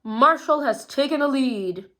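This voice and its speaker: A woman putting on an excited race announcer man. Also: a little slow, exaggerated voice. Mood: announcing. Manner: booming announcer calls.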